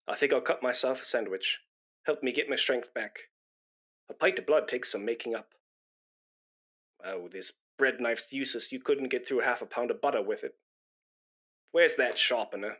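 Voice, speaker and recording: A man's voice: medium pitch, moderate pace, long pauses, a bit bright, natural voice. A man acting a self-important, complaining hospital patient in a radio comedy. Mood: self-important grumbling. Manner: pompous, complaining.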